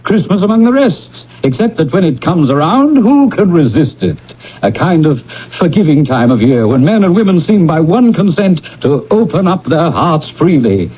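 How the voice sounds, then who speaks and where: An actor playing a cheerful, warm-hearted young gentleman in a classic radio drama, earnest, genial and coaxing. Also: a little high, big pitch swings, normal speed, slightly rough. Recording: dull, slightly noisy old radio recording.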